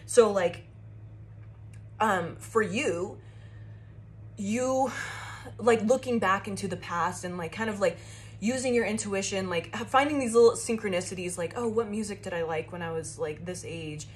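A young woman doing a casual tarot reading to her phone camera in a small room, with a loud, carrying voice and a rambling, profane way of talking full of fillers. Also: medium pitch, normal speed, slight background noise. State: shaky. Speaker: tarot reader.

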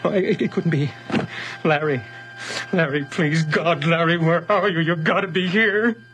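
A man's voice: fairly high pitch, unhurried, music in the background, close to an everyday voice. An actor playing a bewildered, paranoid man in a radio horror drama, intense and suspicious. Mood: desperate. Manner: muttering to himself, intense, suspicious. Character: bewildered, paranoid man doubting his own identity.

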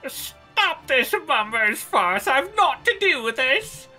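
Indignant old male game chancellor, played by a woman: pompous, blustering, forceful, pushed high. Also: big pitch swings, takes it slowly, soft background music, full character voice. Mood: desperate denial. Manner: pompous, archaic exclamations. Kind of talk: amateur video game dub.

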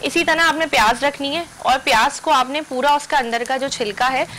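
A young woman with a slightly high voice teaches a recipe step by step on a TV cooking show. She speaks to viewers directly and instructively from a studio kitchen set. Her broadcast recording has steady noise and a sizzling pan behind her. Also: moderate pace. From Pakistan. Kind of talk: TV cooking demonstration.

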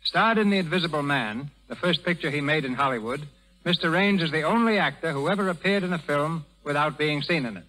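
High-pitched theatrical 1930s radio announcer, man. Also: brisk, rough recording. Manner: theatrical, declamatory. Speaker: announcer and narrator. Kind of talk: 1930s radio scripted narration. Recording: old 1930s radio broadcast, loud music.

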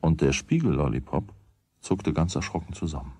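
A man narrating a children's radio play as a wry, deliberate storyteller with a husky voice. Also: very deep voice, dark tone, dry recording. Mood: wry, measured. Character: wry storyteller of a boy's everyday troubles.

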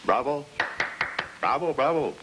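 A man's voice: a little high, animated, a little slow, noisy background. An actor playing a genial, self-assured middle-aged American suburban father with jovial, theatrical pronouncements in a 1950s film style. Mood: jovial, coaxing. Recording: 1950s film soundtrack with music behind.

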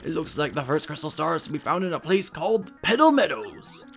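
A man voicing a shriveled old Goomba professor, an excitable, fussy scholar who lectures and exclaims, in a game fan dub. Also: fairly high pitch, brisk, faint music behind. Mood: explaining.

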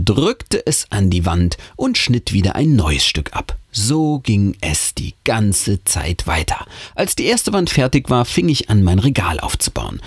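A man's voice: fairly low pitch, breathy edge, no echo, clean studio sound. A man reading a scary story aloud as host, in a theatrical, suspenseful audiobook manner.

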